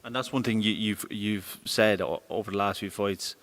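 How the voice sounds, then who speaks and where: A man's voice: fairly low pitch, normal speed, fairly bright tone. A young man interviewing a boxer, rambling and hesitant with many fillers.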